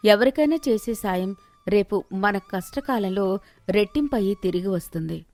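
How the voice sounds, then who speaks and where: A woman's voice: medium pitch, expressive, normal speed, a little mellow. A woman reading a scripted moral story as its narrator. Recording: music behind the voice.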